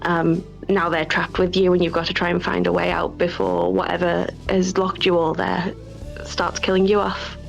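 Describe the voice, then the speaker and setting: A woman developer talks casually over a muffled online call. Her voice sits low and has plenty of vocal fry, with faint music behind it. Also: completely flat delivery, normal speed. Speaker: indie game developer. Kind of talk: casual interview chat.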